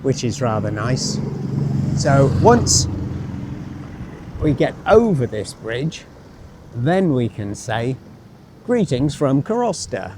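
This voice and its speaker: A man giving casual travel commentary as he walks, thinking aloud in a rambling, wry, self-deprecating way. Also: medium pitch. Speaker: travel vlogger. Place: outdoors, walking by a road and canal. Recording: outdoor street noise with passing vehicles. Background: street ambience, vehicles.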